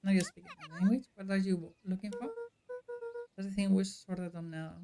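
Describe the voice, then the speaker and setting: A woman streamer chatting casually with her viewers in a friendly, unhurried way while playing a game. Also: mid-range voice, mellow, a little hiss or hum.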